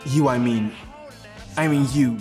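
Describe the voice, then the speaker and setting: A man playing a slangy, smitten, none-too-bright teenage boyfriend who talks haltingly in a radio play. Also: medium pitch, music in the background, lightly acted. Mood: eager, awkward. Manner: halting, inarticulate, laid-back.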